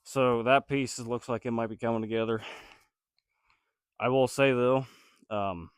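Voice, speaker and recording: A man's voice: medium pitch, steady tone, measured pace, clean tone, no room sound, clean studio sound. A man with a cold-stuffed nasal voice, thinking aloud in a hesitant, rambling way as he works on a building project and talks to his viewers. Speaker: DIY builder vlogger. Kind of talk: casual self-talk while building.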